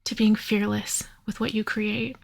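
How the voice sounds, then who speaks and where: A woman leading a guided yoga nidra meditation in a soothing, unhurried manner, leaving long pauses. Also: medium pitch, little pitch movement, vocal fry.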